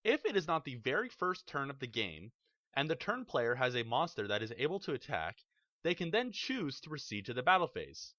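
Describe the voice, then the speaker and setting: A man narrating a scripted tutorial on card game rules, explaining them step by step in a measured, methodical way. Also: mid-range voice, big pitch swings, sharp tone, no room sound. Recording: dull, slightly lo-fi dry voice-over.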